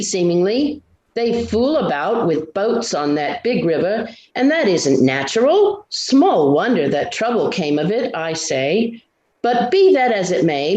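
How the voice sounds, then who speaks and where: A woman voicing an old male hobbit gardener in a storybook reading, talkative and slow, with folksy village authority. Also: lowish voice, a little mellow. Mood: opinionated, assured. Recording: roomy indoor echo.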